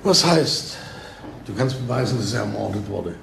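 Gravelly detective, dry and sceptical, radio crime play, bit of background noise. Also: male voice, lowish voice, lively intonation, normal speed, fairly bright tone, exaggerated voice. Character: sardonic, sceptical male police detective. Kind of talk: German crime radio drama acting.

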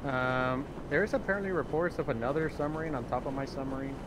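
A medium-pitched man talks casually over game voice chat. He explains things matter-of-factly, with vehicle noise clearly behind him. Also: fairly quick. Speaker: gaming companion. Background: video game vehicle noise.

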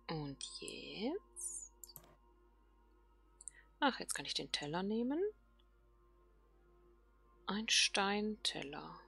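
A woman's voice: medium pitch, big pitch swings, very slow, vocal fry, pauses often, bright, forceful tone, breathy edge, soft background music. A woman hosting a let's play, thinking aloud casually and murmuring questions to herself as she plays.

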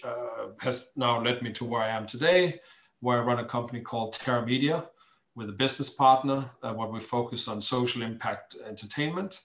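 A middle-aged man with a Danish accent talks conversationally as an interview guest, in measured, hesitant phrases with frequent pauses. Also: medium pitch, fairly bright tone. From Denmark. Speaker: interview guest, charity founder. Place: small cluttered room at home, indoors. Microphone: computer mic on a video call. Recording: echoey room sound.

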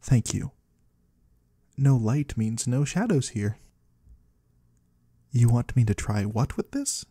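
A man's voice: mid-range voice, sing-song, slow, long pauses, dark tone, husky, dry recording, heavily acted. A man playing a curious otherworldly trader in an ASMR roleplay, musing in quaintly formal words and savoring single ones close to the microphone. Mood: musing, playful. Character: curious otherworldly male trader of the shadowy void. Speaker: ASMR performer. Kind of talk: ASMR roleplay, soft slow close speech to the listener. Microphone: close microphone, dry.

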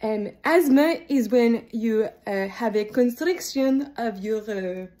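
A woman playing a clumsy, silly French lung doctor for a children's skit, halting, playful and apologetic, with a French accent. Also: on the high side, mellow. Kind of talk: improvised children's show skit.

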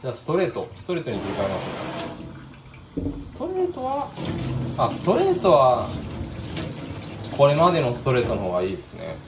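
A man's voice: mid-range voice, wildly swooping pitch, a little fast, long pauses. A man giving a casual, conversational hands-on DIY demonstration as a vlogger. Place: indoors at a kitchen sink by a window. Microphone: smartphone set near the sink. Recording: roomy echo, clear running-water noise. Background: running water and room ambience.

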